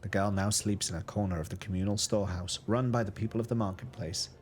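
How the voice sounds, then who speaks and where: A man's voice: on the low side, fairly even intonation, fast talker, darkish tone, slight background noise, lightly acted. Man doing a gossipy market greengrocer woman with an accent. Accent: a put-on regional accent. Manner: gossipy, sighing, chatty. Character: plump kindly middle-aged market greengrocer woman.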